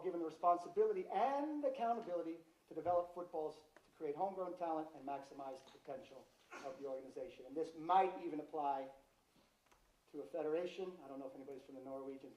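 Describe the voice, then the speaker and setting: Fast-talking American man presenting in echoey hall. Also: fairly high pitch, a little mellow. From United States. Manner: direct, asks rhetorical questions. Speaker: football development presenter. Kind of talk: seminar presentation to coaches. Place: large indoor seminar hall. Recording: roomy hall, distant microphone.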